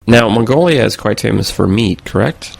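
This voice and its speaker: A man hosting an interview, asking slow, clear questions and repeating the answers back to check them. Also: on the low side, lively intonation, dry recording. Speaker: host interviewer.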